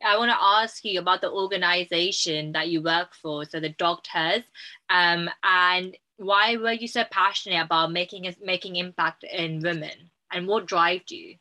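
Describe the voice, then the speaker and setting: A woman's voice: fairly low pitch, moderate pace, poor audio. A woman co-hosting an interview, introducing the guest and asking questions with enthusiasm. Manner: enthusiastic, warm praise. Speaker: co-host.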